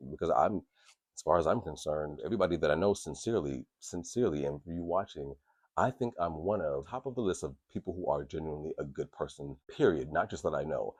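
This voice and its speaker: Very deep husky man, candid and rambling. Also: fast, a little mellow, no echo. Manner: candid, profane and rambling, with many restarts. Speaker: podcast host. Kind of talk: casual podcast talk.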